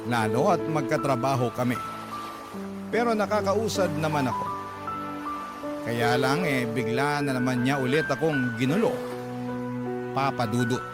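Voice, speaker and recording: A man's voice: mid-range voice, expressive, measured pace, long pauses, loud music behind the voice. A man reading a first-person letter as dramatic narration, as the host of a radio story programme.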